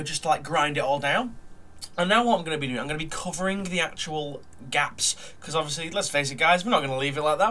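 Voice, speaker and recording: A man's voice: on the high side, fairly bright tone. A man with a nasal, blocked-up voice from a cold, chatting casually at his desk while narrating a model build, full of ums and uhs. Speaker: hobby model builder vlogger.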